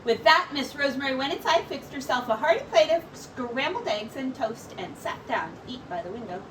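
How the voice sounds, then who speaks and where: A woman teacher reading a picture book aloud to young children in an expressive, animated storytelling manner with dramatic pauses. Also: sits high. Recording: distant mic, faint background noise.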